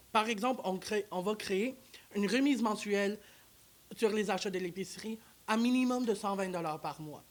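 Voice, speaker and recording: A man speaking as a candidate in a studio debate, earnest and repeating rallying lines. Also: high-pitched. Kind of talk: campaign pitch in a debate.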